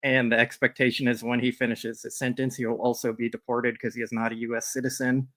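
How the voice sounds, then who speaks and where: A man co-hosting a casual sports chat, giving news in an even, matter-of-fact way. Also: medium pitch, fast talker. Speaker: co-host, managing editor.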